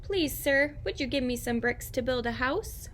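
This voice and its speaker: A woman's voice: sits high, lively intonation, normal speed, fairly bright tone, a little hiss or hum. A woman voicing a young little pig from a storybook, eager and defiant. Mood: polite, pleading. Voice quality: grunting.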